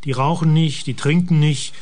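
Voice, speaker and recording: An actor playing a brisk government minister in a radio drama, with a curt, dry, self-assured manner. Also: male voice, a little high, fairly even intonation, darkish tone, natural voice. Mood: defensive. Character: brisk, dry male government minister, protective family man. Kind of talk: German radio drama dialogue.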